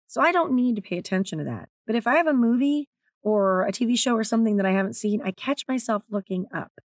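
A woman with a naturally raspy, slightly strained voice, hosting her own podcast in a candid, chatty way. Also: a little high, animated, quick pace, no room sound. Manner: candid, chatty, self-deprecating. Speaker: podcast host and author. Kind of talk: solo podcast talk.

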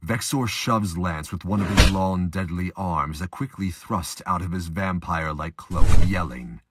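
A man's voice: low-pitched, unhurried, heavy background noise. A man reading scripted dramatic narration for a fan-made audio drama.

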